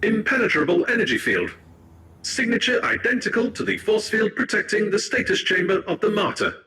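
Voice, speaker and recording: A man's voice: high voice, expressive, brisk, background noise. A man voicing a formal machine servant in a video game, giving terse technical reports. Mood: analytical. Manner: formal, terse, emotionless wording. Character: analytical machine servant assisting an inquisitor. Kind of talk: clipped technical report delivery. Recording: game audio with music behind.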